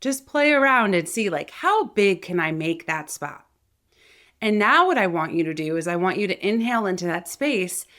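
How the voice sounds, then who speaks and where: A woman's voice: medium pitch, animated, moderate pace, fairly bright tone. A woman with a mid-range voice gives an instructional talk as a vocal coach. She addresses viewers directly, explaining each idea in an articulate, conversational manner. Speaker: voice coach and channel host.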